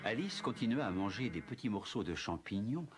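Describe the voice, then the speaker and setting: A man narrating a scripted children's story as the storyteller of an animated film. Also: on the low side, fairly quick, loud music behind the voice.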